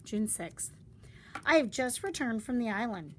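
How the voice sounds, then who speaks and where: A woman reads a storybook aloud with deliberate drama. Her fairly high voice moves through lively, animated intonation. A faint background noise sits behind the reading. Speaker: storybook reader. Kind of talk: expressive read-aloud of a story.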